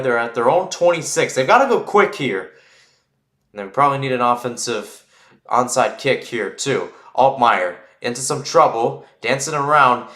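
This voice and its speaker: Man, live football play-by-play, informal and self-correcting, lively swings in pitch, echoey room. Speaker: play-by-play sports commentator. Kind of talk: live football radio-style commentary.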